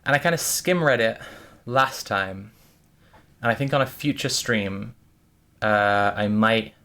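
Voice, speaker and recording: A woman's voice: rumbling low, a little slow, a bit bright. A woman streaming, talking casually and thoughtfully to her chat, with many restarts and fillers.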